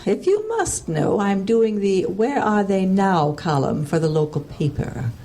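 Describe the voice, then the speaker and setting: A woman's voice: mid-range voice, animated, measured pace, warm tone. An actress playing a prickly woman in her sixties who researches at a small-town library, speaking in a clipped, haughty, impatient way for a radio drama. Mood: curt, guarded. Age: 60s.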